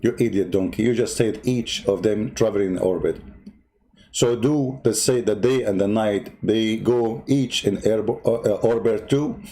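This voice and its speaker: A man's voice: lowish voice, a little slow, mellow. A man hosting a polemical commentary, mocking and insulting in an emphatic way, who sometimes hums to himself. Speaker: debate host. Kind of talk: polemical commentary over a video.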